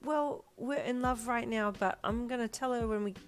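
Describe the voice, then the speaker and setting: Small storybook boy, played by a woman: raised pitch, proud, eager, childlike certainty. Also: moderate pace, lightly acted. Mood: earnest. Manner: eager, childlike, matter-of-fact.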